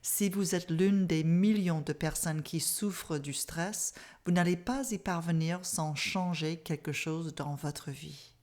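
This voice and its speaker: A middle-aged woman from Canada, a native English speaker with an accent, calmly teaching a mindfulness masterclass in a measured, soft-spoken way. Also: on the low side. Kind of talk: online masterclass presentation.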